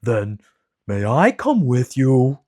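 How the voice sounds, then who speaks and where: A man voicing a big, cowardly male lion who speaks slowly and mournfully. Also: mid-range voice, big pitch swings, darkish tone, pitched above the performer's own voice. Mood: sorrowful. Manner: slow, drawn-out, mournful delivery.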